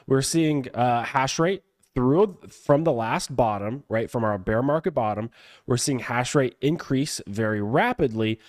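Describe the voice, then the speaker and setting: A man with a raspy, cold-roughened voice, explaining crypto market analysis step by step as a guest analyst. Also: mid-range voice, animated. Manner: measured, explains step by step, asks himself questions. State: hoarse from a cold.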